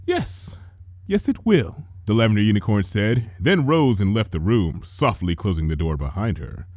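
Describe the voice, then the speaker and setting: A man reading a story aloud as a dramatic, expressive narrator. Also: on the low side, moderate pace, no echo, clean studio sound. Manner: theatrical, expressive. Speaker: fan fiction reader.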